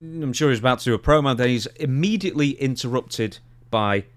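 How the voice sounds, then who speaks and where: A man co-hosting a casual wrestling talk podcast in an animated, exasperated, opinionated way. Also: medium pitch, a little fast. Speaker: podcast co-host.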